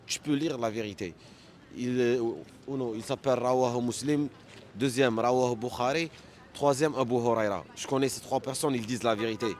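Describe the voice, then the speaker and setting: A man whose first language is Arabic, speaking French as a second language in a street debate, halting and insistent, often repeating words. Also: mid-range voice, a little hiss or hum. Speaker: street interviewee.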